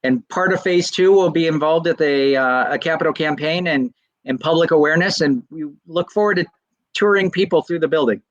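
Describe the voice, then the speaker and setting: A man's voice: on the high side, brisk, no echo, lo-fi sound. A Salvation Army officer answering interview questions over a video call, earnest and explanatory, often restarting his words.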